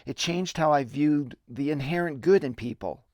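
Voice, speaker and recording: A middle-aged man giving a dramatic reading of his own memoir in deliberate, theatrical storytelling. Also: fairly high pitch, darkish tone, no echo, clean studio sound. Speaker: narrator and videographer. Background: music bed.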